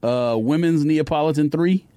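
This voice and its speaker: A man's voice: on the high side, moderate pace, warm tone, dry recording. A man with an American accent co-hosting a sneaker podcast, chatting casually with slangy, teasing banter about shoes and sports.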